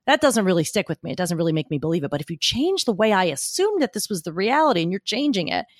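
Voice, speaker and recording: A woman guest with a gruff, gritty voice, talking emphatically and animatedly in a podcast interview. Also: fairly low pitch, fast, no room sound, studio quality. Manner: emphatic, argumentative, animated storytelling. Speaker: guest speaker coach.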